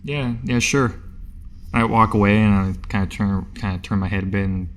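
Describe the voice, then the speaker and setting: A man's voice: deep voice, flat delivery, quick pace. A man chatting casually as a player on a tabletop game podcast, rambling and slangy. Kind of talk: casual chat.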